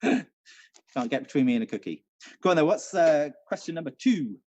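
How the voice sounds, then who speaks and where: A man's voice: a little high, very animated, moderate pace, a little mellow. A British man co-hosting a quiz with casual chat and announcements, recorded with a dull, muffled sound. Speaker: quiz co-host, venue manager.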